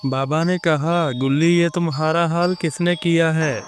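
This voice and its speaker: Man, high voice, scripted cartoon narration, reads out all the dialogue, music playing behind. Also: steady tone. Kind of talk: scripted narration of a comic children's horror cartoon, voicing all dialogue himself.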